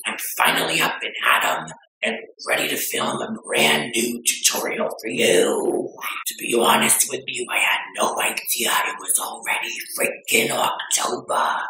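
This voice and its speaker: A man voicing a vain, bawdy aging beauty vlogger woman who claims to be twenty-two, with a breathy, whispery drawl and gossipy theatrical delivery. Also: mid-range voice, sharp tone, a little hiss or hum, heavily acted. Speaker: makeup tutorial vlogger. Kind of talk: comedic makeup tutorial. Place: small decorated room indoors. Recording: echoey room.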